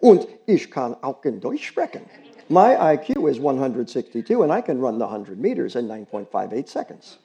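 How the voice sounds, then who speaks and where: A man's voice: mid-range voice, big pitch swings, normal speed, dark tone, muffled recording. A man voicing a precocious, earnest teenage girl who pleads eagerly. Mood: boastful. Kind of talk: audiobook character reading.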